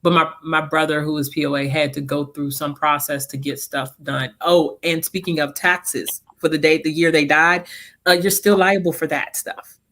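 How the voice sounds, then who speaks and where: Bright-voiced woman, sniffly from a cold. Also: low-pitched, fairly even intonation, normal speed. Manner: chatty, personal, laughs easily. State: getting over a cold, sniffly. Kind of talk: casual panel conversation.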